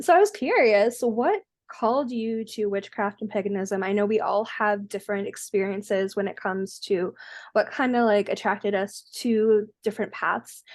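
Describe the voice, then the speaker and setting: A woman's voice: mid-range voice, no room sound, clean studio sound. A woman hosting a podcast, asking questions casually with many fillers. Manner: friendly, filler-heavy. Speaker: podcast host. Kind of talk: casual interview.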